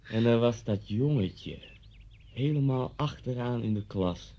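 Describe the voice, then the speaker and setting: A man's voice: mid-range voice, measured pace. An actor playing a talkative, ingratiating policeman in a radio thriller, with a theatrical storytelling manner. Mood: dramatic storytelling. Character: chatty, needling neighbourhood policeman, former pupil, adult man. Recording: muffled 1970s radio drama recording.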